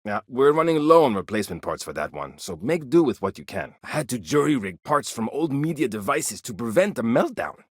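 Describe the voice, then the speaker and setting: Curious chief starship engineer, game dialogue, excitable, wildly animated pitch, very dry close sound. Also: male voice, brisk, few pauses, husky, dry recording. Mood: matter-of-fact. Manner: excitable, inquisitive, chatty. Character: eager, curious male chief engineer of an aging colony starship. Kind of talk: video game character dialogue.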